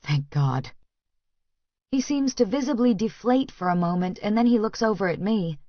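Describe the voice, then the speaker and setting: A woman's voice: mid-range voice, brisk, dry recording, muffled recording. A woman reading first-person fiction as a scripted audiobook narration.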